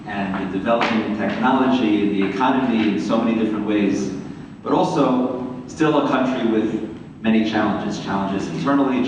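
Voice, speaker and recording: A man with a Queens, New York accent introducing speakers at a community event as a rabbi, in somewhat halting, repetitive phrasing. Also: lowish voice, muffled recording. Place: large reverberant room, community event. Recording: very echoey hall, distant mic, faint noise.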